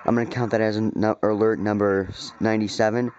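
A man's voice: lowish voice, level tone, fast talker, a little mellow. A man giving casual, off-the-cuff commentary to his viewers in an irritated way, swearing freely, recorded indoors on a handheld camera. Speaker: hobbyist video maker recording weather radio alerts. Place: dark room indoors at a computer. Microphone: handheld camera, speaker behind it. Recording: muffled lo-fi camera audio with noise.

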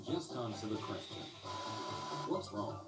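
A man with a fairly low voice reads jokes aloud in a flat, mock-formal delivery. He sounds roomy and set back from the mic. Loud music plays underneath the whole time. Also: unhurried, far from the mic. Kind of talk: reading memes aloud. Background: loud background music.

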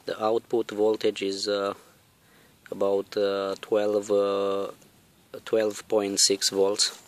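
A man giving a casual, hands-on demonstration in a halting, deliberate way, recorded outdoors on a handheld camera. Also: lowish voice, monotone. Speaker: vlogger demonstrating electronics. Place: outdoors on a lawn. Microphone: handheld camera or phone, held by the speaker.

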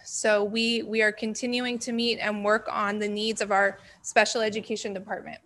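A woman's voice: mid-range voice, little pitch movement, moderate pace, fairly bright tone. A woman, a special education director, giving a formal report at a school board meeting in a strong, projecting voice.

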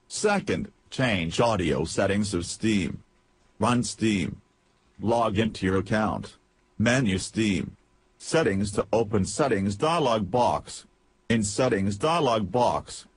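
A man narrating a software tutorial step by step, reading from a script in a stilted way. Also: fairly low pitch, slightly rough.

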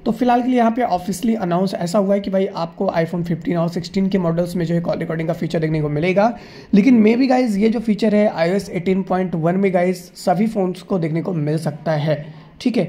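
Mellow-voiced man hosting a tech tutorial. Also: sits high. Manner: repetitive, explanatory, addresses viewers informally as friends. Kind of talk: casual phone tutorial.

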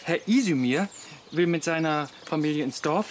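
A man acting an agreeable, hesitant sidekick in a radio comedy. His voice is slightly high and his phrases halting, with faint background noise. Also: close to an everyday voice.

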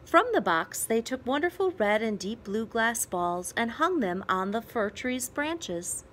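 A woman reading a children's picture book aloud as storyteller, with expressive emphasis and dramatic pauses. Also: on the high side, a little hiss or hum.

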